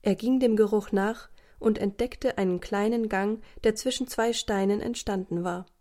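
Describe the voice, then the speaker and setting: A woman's voice: mid-range voice, fairly even intonation, normal speed, mellow. A woman narrating a scripted children's story as a storyteller.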